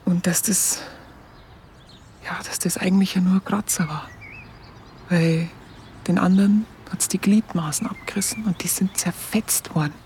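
Actress as soft, smitten woman. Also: lowish voice, steady tone, moderate pace, pauses often, slight background noise, natural voice. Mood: tender, wistful. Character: tender, smitten woman fondly recalling her soldier lover. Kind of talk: intimate radio play monologue. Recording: studio radio drama with soft music.

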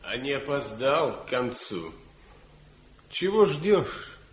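A man's voice: medium pitch, sing-song, slow, husky, exaggerated voice. An actor playing a bitter, haggard, jealous master craftsman in a 1970s radio play, sullen and sarcastic. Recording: dull lo-fi old radio recording.

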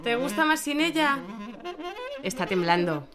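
An actress playing a deferential assistant to a director in a radio play, giving clipped, obedient, businesslike replies. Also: female voice, sits high, big pitch swings, measured pace, over music. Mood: tentative. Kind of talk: radio theatre acting.

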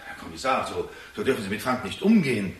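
A man's voice: fairly low pitch, sing-song, quick pace. An actor playing an anxious, insistent older father in a German radio drama, agitated and indignant, tripping over his words. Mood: frantic, insistent. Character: anxious, bitter, insistent father accusing his own son.

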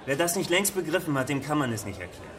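An actor playing a touchy, excitable Nazi stormtrooper in a radio drama, stiffly courteous and self-important. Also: male voice, on the high side, fast talker, some chatter behind. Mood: offended. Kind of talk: German radio drama acting. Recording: echoey room, off from the mic.

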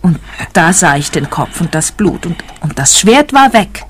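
An actress playing a vehement, indignant woman witness with a husky voice in a radio play. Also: lowish voice, very animated, normal speed, a little hiss or hum. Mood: defensive.

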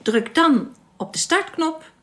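Lively young alien spacewoman. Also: high voice, slow pace, no room sound, exaggerated voice. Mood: amused, helpful. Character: friendly, self-assured young alien spacewoman. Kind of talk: radio drama acting.

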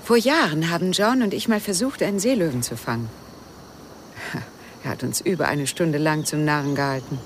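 An actress playing a guarded, wistful widow who speaks evasively and intimately in a German radio crime drama. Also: female voice, low voice, normal speed, a little hiss or hum, natural voice. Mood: reminiscing, amused.

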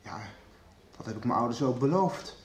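An actor in a radio soap playing an earnest, stubborn young student, hesitant but insistent. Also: male voice, medium pitch, expressive, moderate pace, echoey room, close to an everyday voice. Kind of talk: 1990s radio soap acting.

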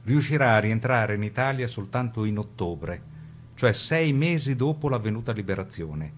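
A man's voice: medium pitch, expressive, moderate pace, slight background noise. A man narrating a historical account for a radio drama, in documentary style.

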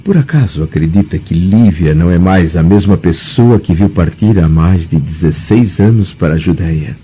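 An actor with a Brazilian accent playing a troubled, proud middle-aged Roman nobleman in a radio drama, formal and anguished, with a husky voice. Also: low voice, normal speed, mellow, a little hiss or hum, lightly acted. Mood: bitter, pleading. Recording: dull, muffled radio drama recording.

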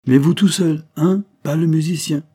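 A man voicing a blustering, husky fat chemist. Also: mid-range voice, moderate pace, dark tone, pitched above the performer's own voice, lightly acted. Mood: agitated, insistent. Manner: colloquial, jovial, blustering. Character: fat, blustering, evasive middle-aged chemist with false joviality. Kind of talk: audiobook character dialogue.

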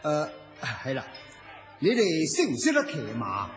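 A gallant nobleman wooing in a radio drama. The voice is high and very animated, declamatory and effusive, ringing with reverb. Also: unhurried, faint music behind. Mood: admiring, gallant. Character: ardent, gallant male nobleman suitor, theatrical. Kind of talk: Cantonese radio drama acting.

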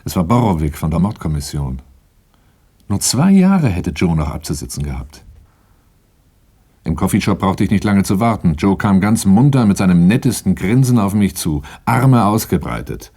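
A very low male voice narrates a radio crime drama. He is wary and matter-of-fact, with a soft, dark tone and a husky edge. His delivery is measured and sober. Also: sing-song, exaggerated voice. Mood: sober, tense. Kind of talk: radio drama acting, first-person narration and dialogue.

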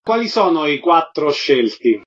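Male radio host, slightly high voice. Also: big pitch swings, unhurried, husky. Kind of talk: radio presenting. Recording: dull, lo-fi audio.

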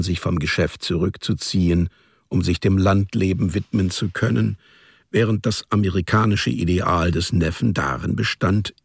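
Male radio drama narrator, low and husky, gravel in the voice, literary storytelling delivery. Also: dry recording, studio quality.

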